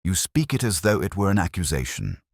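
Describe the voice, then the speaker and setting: A man voicing a shrewd, controlled Victorian detective in a storybook reading, speaking in a dry, measured way. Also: low-pitched, big pitch swings, gravelly, slightly rough, no room sound, full character voice.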